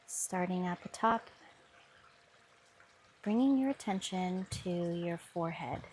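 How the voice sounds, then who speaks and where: A woman guiding a mindfulness body scan meditation with soft, soothing instructions. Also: on the low side, slow, slight background noise. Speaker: meditation guide. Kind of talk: guided meditation.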